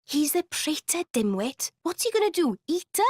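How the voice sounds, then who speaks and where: A woman's voice: high-pitched, very animated, normal speed, darkish tone, no echo, clean studio sound. An actress playing a sassy, scornful young female companion in a game cutscene, blunt and teasing. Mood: dismissive, teasing. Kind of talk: video game cutscene acting.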